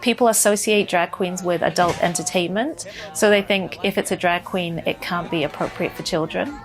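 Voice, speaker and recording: Lower-voiced woman over background music. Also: moderate pace. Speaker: programme organizer. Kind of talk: documentary interview.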